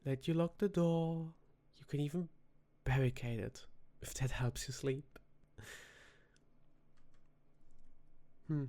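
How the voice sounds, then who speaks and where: A man playing a flirty, charming vampire bartender in a soft-spoken ASMR roleplay, teasing and seductive in an unhurried way. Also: on the high side. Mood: inviting, seductive.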